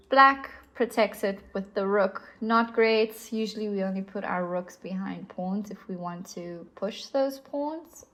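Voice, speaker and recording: A young woman with a South African accent teaches chess at an unhurried pace, patiently talking through moves with the odd filler. Her voice sits at an ordinary pitch for a woman. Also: fairly bright tone. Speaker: chess instructor. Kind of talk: explaining chess moves on a board.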